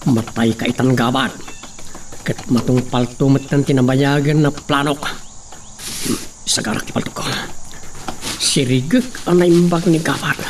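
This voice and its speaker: A villainous henchman acted by a man plots in slow, stretched-out phrases. He sounds menacing and calculating, in radio drama style. The voice sits away from the mic, with faint noise and insects behind it. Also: mid-range voice, close to an everyday voice. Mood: cold, scheming. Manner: slow, menacing, drawn-out delivery. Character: scheming villainous henchman plotting murder and abduction. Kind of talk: Filipino radio drama acting, thinking aloud. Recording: distant voice, faint background noise.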